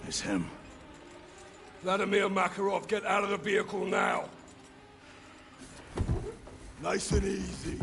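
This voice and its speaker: An actor playing a tough special forces leader in a military shooter game, gruffly barking profane orders. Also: male voice, high voice, exaggerated voice. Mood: tense, menacing. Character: tough, commanding special forces soldier leading a raid. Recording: loud music over the voice.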